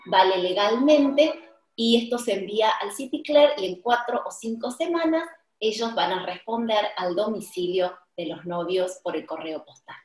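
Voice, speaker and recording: A woman's voice: medium pitch, lively intonation. Argentine woman on a roomy video call. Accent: Argentine Spanish. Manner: warm, earnest, frequent "eh" pauses. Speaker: wedding officiant. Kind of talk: promotional video call chat. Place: indoor room with tiled wall, flowers and candle. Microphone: video call webcam.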